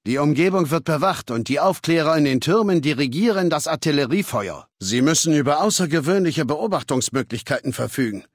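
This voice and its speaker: An actor playing a cold, arrogant warlord dictator in a video game, speaking with condescending, menacing authority. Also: male voice, a little high, animated, fairly quick, few pauses, slightly rough, no room sound. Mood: measured, authoritative. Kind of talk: video game dialogue acting. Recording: dry studio recording.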